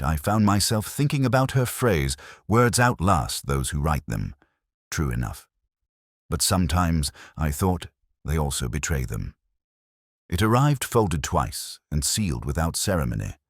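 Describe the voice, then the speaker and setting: A man narrating a detective mystery in the first person, in a measured, literary and wry manner. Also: a bass voice, dry recording, clean studio sound.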